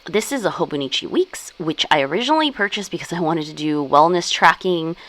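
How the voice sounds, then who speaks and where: Chatty low-voiced woman vlogger. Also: animated, fairly quick, a bit bright, poor audio. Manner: chatty, many "like" and "um" fillers. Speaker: stationery vlogger. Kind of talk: casual show-and-tell chat.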